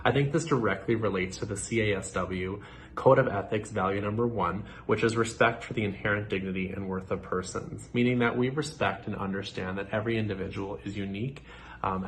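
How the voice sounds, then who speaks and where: A young man from Nova Scotia, a social work student giving a prepared presentation in an earnest, measured way with occasional fillers. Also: lowish voice, fairly bright tone, a little hiss or hum, rough recording. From Nova Scotia, Canada.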